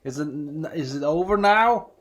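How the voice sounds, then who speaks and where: A man's voice: fairly high pitch, lively intonation, moderate pace, a bit bright. A man from Norway with a Norwegian accent, chatting casually at home while unboxing, rambling and hesitant with many restarts, reading screen prompts aloud. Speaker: vlogger.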